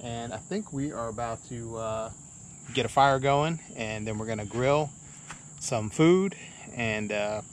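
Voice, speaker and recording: A man's voice: mid-range voice, measured pace. Laid-back man vlogging in noisy outdoors. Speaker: vlog host. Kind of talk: casual camping vlog. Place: outdoors at a state park and campsite. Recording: noisy outdoor recording with insects.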